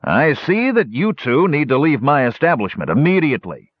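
A man voicing a gray-haired old male dwarf innkeeper who talks gruff and blunt, pitched well above his own voice. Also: big pitch swings, normal speed, no echo. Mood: stern. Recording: dull lo-fi dry recording.

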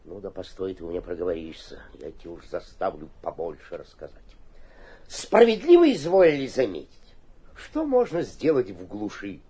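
An actor playing an obsequious, cunning provincial mayor in a theatrical radio play, flattering and slyly suspicious, with a husky voice. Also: male voice, sits low, moderate pace. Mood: suspicious muttering, then fawning. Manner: fawning flattery with muttered suspicious asides. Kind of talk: theatrical radio drama acting.

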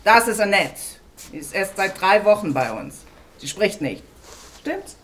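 A woman's voice: mid-range voice, very animated, measured pace, a bit bright, slight background noise. An actress playing a brash, impatient woman in a radio play, blunt, curt and coarse in her talk. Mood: brusque, impatient. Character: brash, impatient woman pushing a new maid.

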